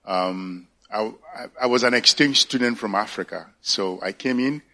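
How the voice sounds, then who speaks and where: Man in his 60s, Nigerian accent, church testimony, halting, searching for words. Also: lowish voice, bright, forceful tone. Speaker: church elder candidate. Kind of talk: personal faith testimony. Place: church service.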